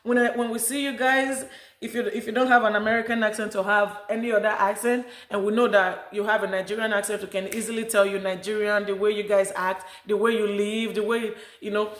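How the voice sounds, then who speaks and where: A woman's voice: mid-range voice, little pitch movement, fairly quick, fairly bright tone. Rambling chatty woman in an echoey room. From Africa. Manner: rambling, chatty, with many restarts. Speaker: vlogger. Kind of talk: casual chat to camera.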